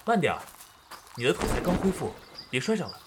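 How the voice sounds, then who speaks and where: A man playing a gentle, doting village schoolteacher in an ancient-China romance audio drama, tender and composed. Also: a little high, very animated, a little fast, background noise. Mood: fond, composed.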